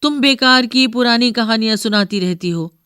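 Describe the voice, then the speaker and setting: A woman's voice: on the high side, moderate pace, smooth, no room sound, studio quality, voice pushed up, close to an everyday voice. A woman voicing an eager, impatient young grandson who is easily annoyed, in a storybook reading. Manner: insistent, excitable.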